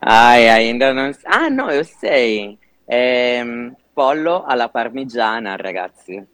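A man from Italy with an Italian accent, a guest in a relaxed interview, chatty and laughing often, with halting non-native phrasing. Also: medium pitch, no room sound. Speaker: guest, Instagram cooking creator.

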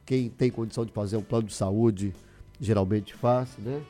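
A man's voice: on the low side, steady tone, normal speed, warm tone, faint music behind. A man hosting a live news interview on the radio.

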